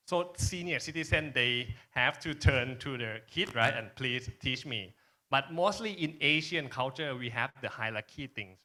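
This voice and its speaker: Male conference speaker, Thai accent, forceful bright tone, halting informal delivery that keeps checking in with listeners. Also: mid-range voice. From Thailand. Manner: halting, frequent tag questions "right?". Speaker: conference speaker, social enterprise presenter. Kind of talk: informal presentation and panel answer.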